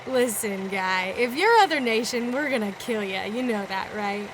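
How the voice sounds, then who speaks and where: A woman's voice: medium pitch, expressive, normal speed, noisy background, lightly acted. An actress playing an impatient, hot-tempered woman in an audio drama, brash, mocking and blunt in her threats. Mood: suspicious, threatening. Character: hot-tempered, impatient, threatening female post-war scavenger.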